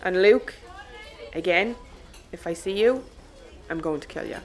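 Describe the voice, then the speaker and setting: A sardonic Irish ship captain. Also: female voice, on the low side, animated, measured pace, a faint crowd. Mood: dry. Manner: dry, sardonic. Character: wry, grudging female captain of a small cargo ship. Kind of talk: scripted audio drama acting.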